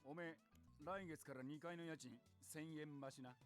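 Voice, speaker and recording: Visual novel role: male actor as gruff, blunt middle-aged landlord, brisk, rough-spoken, slightly raised pitch. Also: faint music behind, lightly acted. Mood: irritated. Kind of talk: Japanese visual novel voice acting.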